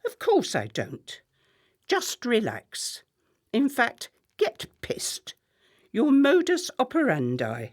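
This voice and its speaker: A woman's voice: on the high side, huge pitch swings, slow pace, heavily acted. A woman playing a contemptuous, sarcastic middle-class wife in a radio play, with a cutting, theatrical delivery. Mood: dry sarcasm.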